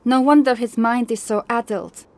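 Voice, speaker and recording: A woman's voice: on the high side, normal speed, a little mellow, voice pushed up, close to an everyday voice. A woman voicing a practical, sceptical, short-tempered Viking warrior woman in an audiobook. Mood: dry, doubtful. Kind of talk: audiobook character acting.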